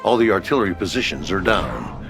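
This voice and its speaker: Brisk military HQ officer, loud music. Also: male voice, low-pitched, big pitch swings. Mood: brisk, businesslike. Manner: clipped, businesslike radio sign-offs. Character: brisk military headquarters officer giving battlefield orders. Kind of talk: scripted video game mission briefing.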